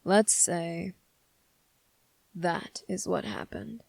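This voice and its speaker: Someone acting out a brooding demon for asmr roleplay, gravelly and taunting, really slow, super close dry mic. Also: mid-range voice, fairly even intonation, dry recording, full character voice. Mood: defensive, brooding. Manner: slow, deliberate, taunting, with dramatic pauses. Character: brooding menacing demon with a hidden moral code. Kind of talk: intimate ASMR roleplay monologue. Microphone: close, dry microphone.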